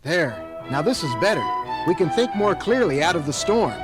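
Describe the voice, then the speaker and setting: An actor playing a confident, upbeat young gang leader in a cartoon audio drama, earnest and commanding. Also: male voice, fairly high pitch, very animated, moderate pace. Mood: determined. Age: young adult. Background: music.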